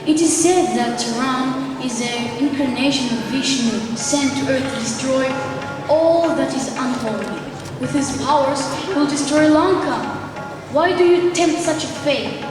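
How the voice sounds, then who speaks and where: A woman's voice: high voice, a little slow. Earnest demon prince, played by a young woman, declaimed short phrases, school stage, long reverb, music behind. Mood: urging. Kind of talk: school pageant stage recitation. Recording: distant echoey gym, music behind.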